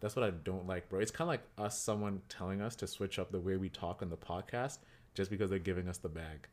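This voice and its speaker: Man, very low voice, podcast co-host, casual chat, animated, filler-heavy. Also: fast talker. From Kenyan heritage. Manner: animated, repeats himself, heavy use of "like".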